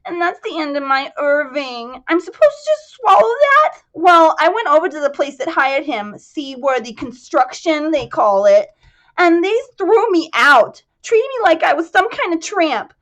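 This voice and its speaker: A woman's voice: very animated, measured pace, full character voice, poor audio. A woman voicing a grieving, indignant widow who talks through sobs and hiccups. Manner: sobbing, hiccuping, high and loud. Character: grieving widow from South Jersey, tearful and indignant.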